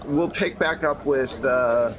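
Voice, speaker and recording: A man's voice: mid-range voice, slow, a crowd in the background. A man running a tabletop game as game master, moving between casual table talk and narration.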